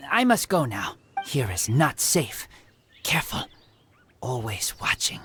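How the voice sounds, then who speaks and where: A man voicing a young wild boy raised by wolves in a video game. He speaks in halting, broken, simple sentences and sounds terse and earnest. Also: medium pitch, wildly swooping pitch, noisy background. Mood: blunt, wary. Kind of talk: video game character acting. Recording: loud game music behind.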